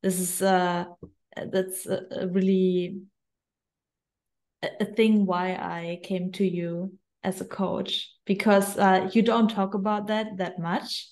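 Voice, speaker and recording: A woman's voice: medium pitch, level tone, takes it slowly, a little mellow. A woman hosting a podcast interview, speaking hesitantly and searching for her words. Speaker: podcast host.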